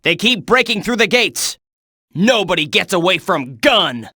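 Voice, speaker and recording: Tense, urgent game soldier. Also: male voice, sits high, sing-song, a little slow, bright, forceful tone, no echo, studio quality, exaggerated voice. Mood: urgent, commanding. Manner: clipped, urgent military delivery. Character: tense military soldier barking combat reports and orders. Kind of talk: video game battle voice clips.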